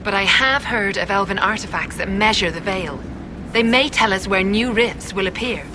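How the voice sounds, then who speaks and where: An actress playing a wary, self-possessed elven woman in a video game, speaking in measured, formal phrases. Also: medium pitch, background noise, natural voice. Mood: grave. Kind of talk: video game character dialogue.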